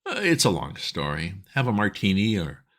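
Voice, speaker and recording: A man's voice: sits low, very animated, normal speed, a bit bright, full character voice. A man voicing a cheerful, cocky young English clubman with a breezy, jaunty way of talking.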